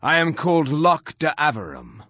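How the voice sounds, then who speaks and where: RPG revenant lead: sardonic, stern, formal, raspy voice, very dry close sound. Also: male voice, a little high, wildly swooping pitch, moderate pace, pushed and bright, no echo, exaggerated voice. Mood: stern, terse. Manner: stiff, formal speech without contractions. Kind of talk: fantasy game character acting.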